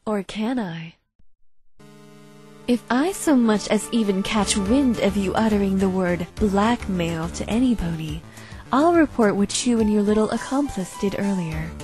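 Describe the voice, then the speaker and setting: A woman playing a cold, calculating female unicorn blackmailer in a visual novel fan dub, speaking in a smug, measured, threatening way. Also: mid-range voice, darkish tone, lightly acted. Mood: coolly threatening. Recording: faint music behind.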